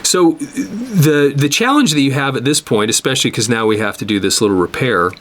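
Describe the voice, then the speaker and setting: A man giving an unscripted hands-on repair tutorial, explaining step by step in a halting way and repeating words as he works. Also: medium pitch, animated, fairly bright tone, a little hiss or hum. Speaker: instructor demonstrating cassette tape repair.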